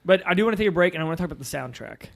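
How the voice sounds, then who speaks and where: A man with a somewhat high speaking voice. He co-hosts a film podcast and talks in a loose, casual, conversational way with his friends. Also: expressive, rapid-fire, vocal fry. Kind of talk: casual chat, film discussion. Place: outdoors.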